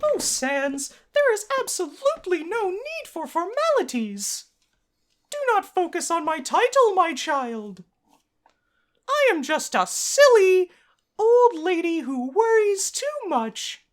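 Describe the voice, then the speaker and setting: A woman voicing a gentle, motherly old goat monster lady in a video game, doting, formal and a little fussy. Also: extremely high pitch, big pitch swings, slow pace, pitched above the performer's own voice, heavily acted. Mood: modest, fussing.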